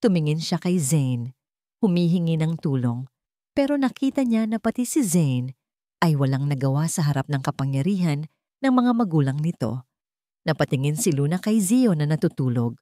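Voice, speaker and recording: A woman with a Filipino accent narrates a scripted story in measured, dramatic short phrases. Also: low voice, sing-song, dark tone, dry recording, studio quality.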